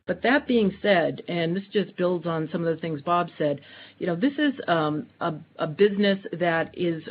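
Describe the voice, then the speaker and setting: A woman speaking as a finance executive on an earnings call, explaining in a measured way. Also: on the low side, animated. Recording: dull conference call audio.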